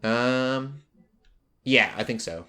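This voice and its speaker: A man's voice: mid-range voice, extremely slow, pushed and bright. A man hosting a casual pop culture podcast, talking in a rambling way that drifts into tangents. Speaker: podcast host.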